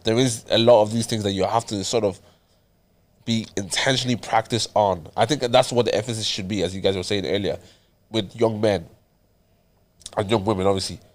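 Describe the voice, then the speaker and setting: Low-voiced man talking earnestly. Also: steady tone, a little fast, slight background noise. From Ireland. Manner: earnest, repeats words as he thinks aloud. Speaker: podcast co-host. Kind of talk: casual chat.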